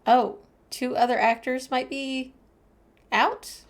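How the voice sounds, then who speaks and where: A woman's voice: high voice, steady tone, slow. A woman giving casual, sympathetic commentary as she plays through a game. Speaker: game streamer. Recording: game music behind the voice.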